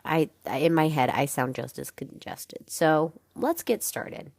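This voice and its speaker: A woman's voice: low voice, expressive, unhurried, vocal fry, darkish tone, no echo, clean studio sound. A young woman hosting a solo podcast, talking in a frank, chatty way with lots of fillers and some swearing, and sounding congested. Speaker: podcast host. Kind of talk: casual solo podcast talk.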